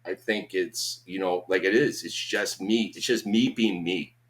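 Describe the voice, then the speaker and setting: Deep-voiced Boston man in his fifties. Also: measured pace, fairly bright tone. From Boston, USA. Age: 50s. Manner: rambling, peppered with "you know what I'm saying", swears freely. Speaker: podcast guest. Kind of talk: casual conversation.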